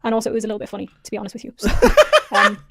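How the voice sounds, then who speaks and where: A woman's voice: medium pitch, little pitch movement, gravelly, heavy background noise. A young woman with an Irish accent, earnestly explaining and apologizing in a video of her own. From Ireland. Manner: earnest, apologetic, rapid talk. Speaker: YouTuber being reacted to.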